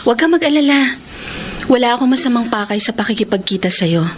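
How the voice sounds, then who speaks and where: An actress in a radio drama playing a devoted woman who speaks softly, pleading and close to tears. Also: fairly high pitch, lively intonation, fast talker, noisy background, natural voice. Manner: soft, pleading, tearful. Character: Alice, a devoted woman ready to let go. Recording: radio drama with music behind.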